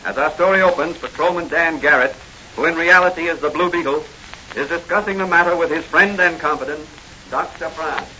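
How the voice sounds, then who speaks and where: A man's voice: a little high, brisk, sharp tone, slight background noise. A man narrating a 1940s radio serial as a grand, declamatory announcer. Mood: grave, explanatory. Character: dramatic announcer of a crime-fighter serial. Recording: dull vintage radio recording. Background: music.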